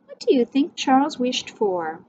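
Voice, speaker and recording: Slow, high-voiced woman reading to children. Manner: expressive, addressing children directly. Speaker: teacher. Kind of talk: storybook read-aloud for young children. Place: small indoor room with whiteboard. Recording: dull sound, faint background noise.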